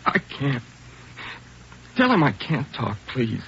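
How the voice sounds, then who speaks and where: A man's voice: medium pitch, wildly swooping pitch, slow, a bit bright, slight background noise, heavily acted. An actor playing a frightened, shaken teenage boy who pleads in a strained voice in a vintage radio drama. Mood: pleading. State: shaken, near tears. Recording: muffled old radio recording.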